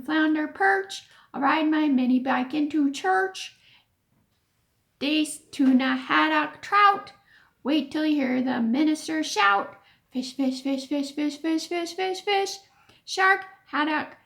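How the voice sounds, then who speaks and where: A woman voicing a mischievous, excitable boy in a storybook, urging and chanting to egg on a friend. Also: sits high, gravelly, voice pushed up, exaggerated voice.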